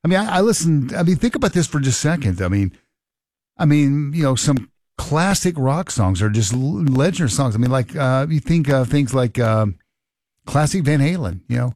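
A man's voice: mid-range voice, lively intonation, normal speed, a little mellow, no room sound, studio quality. A man in his late fifties hosting a call-in radio show, teasing callers and rambling with many restarts. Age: 50s. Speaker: radio talk show host. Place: radio studio. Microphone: studio microphone.

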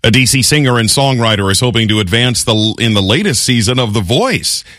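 Lively male radio news anchor. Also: medium pitch, dry recording. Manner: measured, lively newsreader delivery. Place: radio studio. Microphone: studio microphone. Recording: dry close studio sound.

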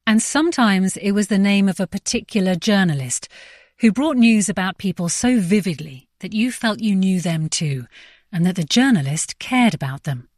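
A female radio presenter reads her script with composed, measured delivery. Her voice is mid-pitched for a woman, recorded dry with no room sound. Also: a little mellow, no echo.

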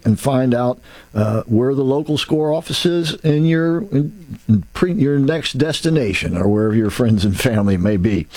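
A man's voice: mid-range voice, a little mellow, no room sound. A man hosting a talk show, rambling and folksy, with many restarts and asides. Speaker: radio show host. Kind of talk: casual radio talk show.

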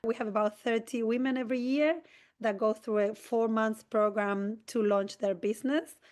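A woman's voice: fairly high pitch, fairly even intonation, moderate pace, darkish tone. A woman from Argentina, an interview guest who explains at length in an earnest, enthusiastic way with brief restarts. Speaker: community organisation president.

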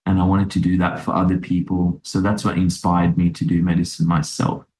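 A man's voice: deep voice, completely flat delivery, fairly quick, darkish tone, reverberant. A man from Sri Lanka, a doctor, giving reflective interview answers with many fillers and restarts. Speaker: podcast guest, doctor.

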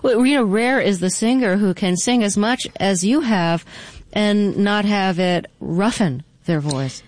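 A woman hosting a radio interview, asking measured questions in a calm voice. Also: medium pitch, dry recording.

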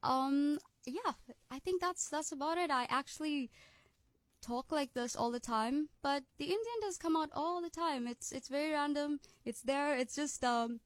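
A woman's voice: sits high, no room sound. A young woman from South Africa with an American-sounding accent, a cheerful musician guest on a radio interview who laughs easily. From South Africa, Durban. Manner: cheerful, laughs often, quick asides.

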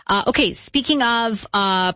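A woman's voice: a little high, measured pace, sharp tone, clean tone, no echo, dull audio. Chatty woman host asking questions. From Greek family. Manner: casual, chatty questions with fillers. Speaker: radio host. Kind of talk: conversational interviewing.

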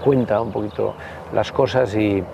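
Man in his sixties, Basque Spanish accent, creaky mid-range voice, rambling storyteller, casual interview, noticeable outdoor urban noise. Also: on the low side, fairly even intonation, muffled recording. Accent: Spanish from the Basque Country. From Basque Country, Spain. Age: 60s. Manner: rambling, halting, anecdotal, peppered with tag questions. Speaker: interview guest, political party member.

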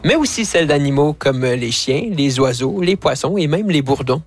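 A man's voice: on the high side, expressive, moderate pace. A man presenting science news on radio with a light, joking manner. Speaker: science columnist.

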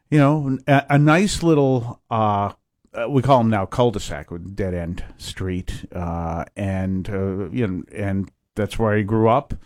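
A man in his fifties from Massachusetts, a priest, giving a rambling conversational interview with many pauses and restarts. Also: on the low side, darkish tone, no room sound, clean studio sound.

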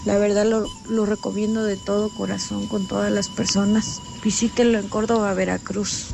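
A woman's voice: mid-range voice, flat delivery, moderate pace, gravelly, noisy background. A woman in her thirties giving a sincere testimonial in a radio ad. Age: 30s. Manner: earnest, sincere. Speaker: testimonial speaker in ad. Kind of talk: read testimonial. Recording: radio ad with music bed.

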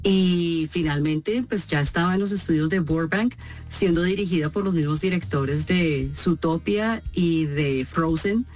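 Expressive Colombian actress, storytelling. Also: female voice, lowish voice, unhurried, soft background music. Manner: expressive, storytelling with acted quotes. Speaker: actress guest. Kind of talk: interview answers.

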